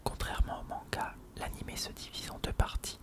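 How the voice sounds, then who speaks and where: ASMR host, fully whispered, breathy and soft, for hushed encyclopedia-style reading. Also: normal speed.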